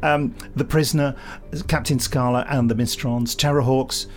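A man's voice: mid-range voice, steady tone, normal speed, a little hiss or hum. A middle-aged man with an English accent co-hosting a podcast, rambling playfully between jokey chat and mock-grand scripted announcements. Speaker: podcast co-host.

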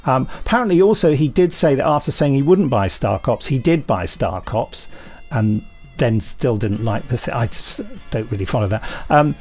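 A man's voice: mid-range voice, lively intonation, normal speed, darkish tone, soft background music. A middle-aged British man hosting a podcast in casual chat, hesitant, wry and a little pedantic. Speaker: podcast host.

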